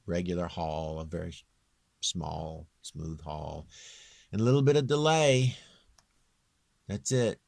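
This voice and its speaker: A man with a very low, near-bass voice giving a casual, off-the-cuff software walkthrough in a rambling, plain-spoken, self-deprecating way. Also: dry recording, clean studio sound. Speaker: home-recording singer giving a tutorial.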